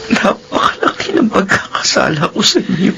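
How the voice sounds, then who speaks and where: A man's voice: high voice, big pitch swings, normal speed, creaky, sharp tone, a little hiss or hum, exaggerated voice. A man playing a weary, remorseful ailing father in a Filipino radio drama, pleading and solemn. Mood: remorseful, grateful. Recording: radio drama with music behind.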